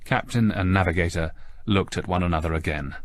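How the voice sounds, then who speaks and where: A man's voice: sits low, fairly quick, no room sound. A man reading a scripted story aloud as a narrator in a dramatic audiobook style.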